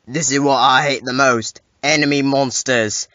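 Man as a bright-voiced heroic monster. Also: medium pitch, steady tone, moderate pace, no echo, close to an everyday voice. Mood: earnest. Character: earnest heroic giant monster seeking an ally.

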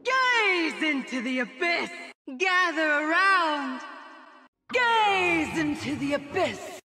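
Imperious sorceress, drenched in reverb. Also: female voice, high voice, big pitch swings, slow, bright, forceful tone, faint music behind, exaggerated voice. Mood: commanding, menacing. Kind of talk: video game battle cries.

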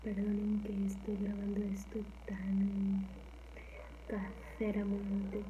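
A young Mexican woman with a Mexican accent chatting casually for her ASMR channel, rambling softly and often slipping into a whisper in a small home kitchen. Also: medium pitch, completely flat delivery, slow pace, highs cut off, background noise. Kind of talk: casual soft-spoken chat.

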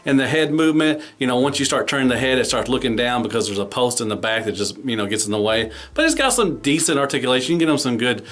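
A man's voice: mid-range voice, animated, fast, hardly any pauses, a bit bright. Casual man reviewing toys. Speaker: toy reviewer. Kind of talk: casual hands-on product review.

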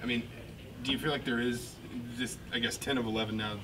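A man's voice: mid-range voice, fairly even intonation, brisk, far from the mic, noisy background. Off-mic male reporter asking questions. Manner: rambling, rephrasing questions. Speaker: sports reporter. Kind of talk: postgame questions.

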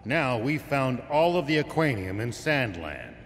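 An actor playing a stern, level-headed old man in a video game, speaking in a grave, measured way. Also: medium pitch, big pitch swings, fairly bright tone, a little hiss or hum. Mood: composed. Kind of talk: animated video game cutscene acting.

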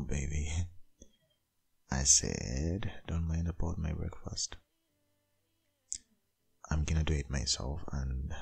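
A man playing a tender, possessive dominant boyfriend in an intimate ASMR roleplay, murmuring in a gravelly, breathy, half-whispered voice. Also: rumbling low, a little mellow, full character voice. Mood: tender, affectionate. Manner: slow, murmuring, lingering.

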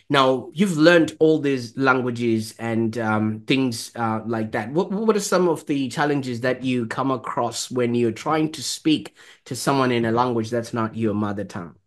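A man's voice: medium pitch, unhurried, fairly bright tone. A man in his thirties hosting an interview over a video call, affirming his guest and asking long questions full of "you know". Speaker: host. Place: home study with bookshelves.

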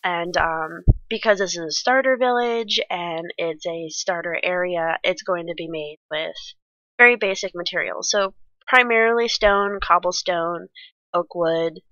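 Woman, congested and stuffy, rambly let's-play commentary, bright forward tone, dry dull home mic. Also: lowish voice, a little slow, no room sound. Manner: rambling, many ums and restarts, chatty. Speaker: Minecraft let's play creator. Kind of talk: casual gameplay commentary.

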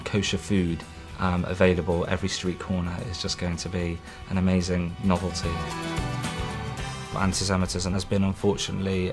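A man speaking earnestly in a documentary interview, reflective and halting with many ums. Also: deep voice. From Britain. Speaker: emigrating husband.

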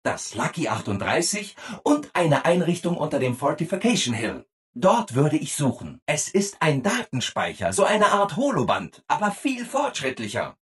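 Over-eager robot assistant, video game character, hugely swooping intonation, very husky rough-edged tone, relentlessly cheerful. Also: male voice, fairly high pitch, huge pitch swings, normal speed, a bit bright, heavily acted. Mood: helpfully explaining. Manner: chirpy, over-eager, exaggeratedly obliging.

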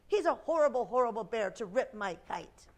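A woman voicing an angry, upset little girl in a children's storybook, shouting her complaints indignantly. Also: a little high, expressive, unhurried. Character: furious, stomping little girl with a torn kite.

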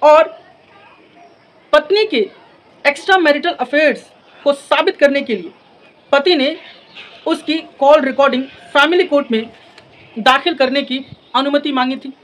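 A young man giving an explanatory law lecture, speaking deliberately phrase by phrase and repeating for emphasis. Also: very high voice, a bit bright, low-quality recording. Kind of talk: explanatory lecture with English legal terms mixed in. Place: in front of a classroom blackboard. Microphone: lapel mic.

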